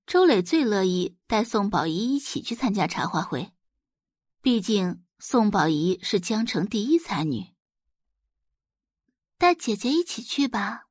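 Expressive, lively woman audiobook narrator. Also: medium pitch, slightly rough, dry recording, clean studio sound. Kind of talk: scripted dramatic narration.

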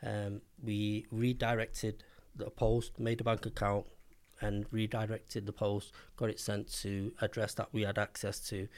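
A man's voice: on the low side, completely flat delivery, a little slow, darkish tone. A man in his fifties with a Manchester accent, telling his story as a podcast guest, hesitant with lots of ums and blunt slang. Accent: Mancunian English. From Manchester, England. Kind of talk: casual storytelling interview.

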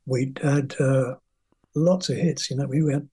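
A man's voice: mid-range voice, steady tone, a little slow, warm tone, husky. An older man from England, a guest musician telling rambling, chatty stories about his career in an interview. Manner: rambling, chatty, acts out quoted voices. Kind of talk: anecdotal interview storytelling.

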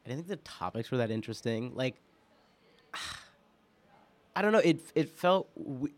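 A man from New York with an American accent, guest-hosting a casual podcast and thinking aloud haltingly, repeating words as he goes. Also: mid-range voice, expressive, no echo. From New York, United States. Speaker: podcast guest host and producer. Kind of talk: casual chat.

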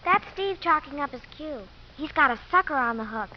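A woman's voice: sits high, expressive, fairly quick. A woman playing a hustler's blunt, wisecracking young daughter in a 1950s radio drama. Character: brash, streetwise young daughter of a pool hustler. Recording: muffled, hissy old 1950s radio recording.

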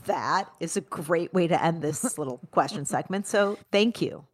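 A middle-aged woman co-hosting a podcast in casual conversation, thinking aloud in quick bursts with frequent restarts. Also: on the low side. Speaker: podcast co-host.

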